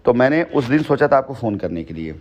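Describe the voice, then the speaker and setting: A man's voice: medium pitch, very animated, quick pace, a little hiss or hum. A man on a recorded phone call, explaining at length in an emphatic, long-winded way and repeating his phrases for weight. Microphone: telephone.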